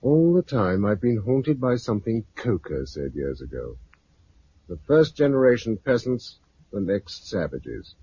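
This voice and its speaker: A man's voice: on the low side, very animated, a little slow, mellow, slightly rough, full character voice. A man with a British accent playing a thoughtful, weary biologist and family man in a 1950s radio drama. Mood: reflective, resolved. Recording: muffled 1950s radio recording.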